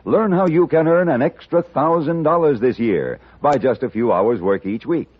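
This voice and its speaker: A man announcing a scripted 1950s radio advertisement with an emphatic sales delivery and a husky voice. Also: mid-range voice, moderate pace. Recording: dull old radio recording.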